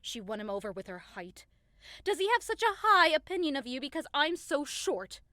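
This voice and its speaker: A woman's voice: sits high, big pitch swings, normal speed, sharp tone, no room sound, clean studio sound, exaggerated voice. A woman playing a short, hot-tempered young woman hurt in love, melodramatic and indignant, in a modernized Shakespeare audio drama. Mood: furious, accusing. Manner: melodramatic, indignant, outbursts swinging to wounded pleading.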